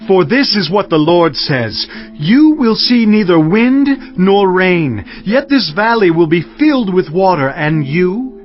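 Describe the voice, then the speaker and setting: Commanding prophet, solemn declamation, higher-pitched male voice, highly animated, music underneath. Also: dull audio. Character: stern commanding male prophet speaking for God. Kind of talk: dramatized scripture audiobook acting.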